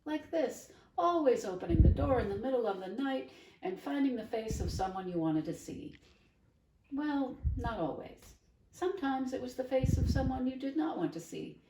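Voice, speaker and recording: A woman voicing a warm, dreamy older neighbor who speaks in a lilting, emphatic way, read aloud in a roomy space from a distance. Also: high-pitched, expressive, normal speed, voice pushed up. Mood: fond. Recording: roomy, distant mic, faint hiss.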